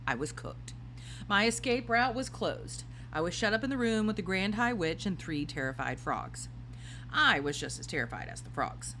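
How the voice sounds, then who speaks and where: A woman reading a children's storybook aloud in an expressive narrating style. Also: mid-range voice, normal speed, a little hiss or hum, low-quality recording. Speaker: storybook reader.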